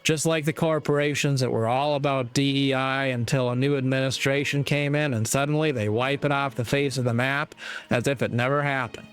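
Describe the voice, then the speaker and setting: Clear-voiced man delivering a moral monologue. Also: medium pitch, fairly even intonation, soft background music. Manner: deliberate, halting phrasing with rhetorical asides. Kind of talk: opinion monologue, preachy commentary.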